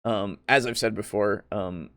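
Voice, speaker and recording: A man's voice: on the low side, fairly even intonation, measured pace, fairly bright tone, dry recording. A man hosting a casual group discussion, talking informally with some loose swearing. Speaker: podcast host.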